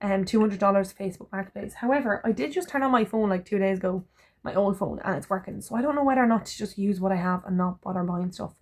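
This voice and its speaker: A woman rambles cheerfully to her camera, now and then breaking off mid-word to restart. Her voice is a touch hoarse and phlegmy, and her pitch sits in an ordinary middle range. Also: fast, no echo, clean studio sound. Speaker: vlogger. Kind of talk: casual vlog chat.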